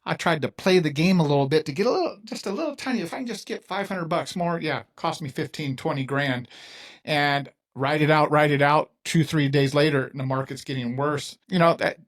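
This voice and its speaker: A man's voice: a little high, a little fast. A man in his fifties hosting a casual interview, talking in an emphatic, folksy way and acting out other people's lines. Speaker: podcast host.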